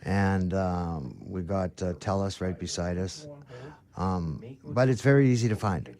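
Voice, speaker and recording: A man chatting casually as a radio guest, plain-spoken and a little halting. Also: low voice, warm tone. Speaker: guest, thrift store manager. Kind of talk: casual radio interview chat.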